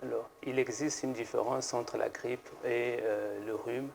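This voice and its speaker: A man, a pharmacist guest on a TV studio set, explaining slowly and hesitantly with many fillers and restarts. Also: mid-range voice, fairly even intonation, gravelly, a little mellow. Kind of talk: explanatory TV interview answers.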